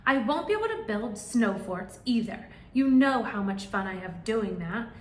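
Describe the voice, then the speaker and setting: A woman's voice: fairly high pitch, lively intonation, normal speed, reverberant, close to an everyday voice. A woman voicing a cranky, grumbling rabbit in a children's storybook. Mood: complaining. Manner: grumbling, complaining. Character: grumbling, cranky rabbit who dreads spring.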